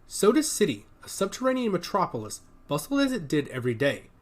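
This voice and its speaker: A man's voice: high voice, wildly swooping pitch, brisk. A man narrating a scripted story in a dramatic audiobook style.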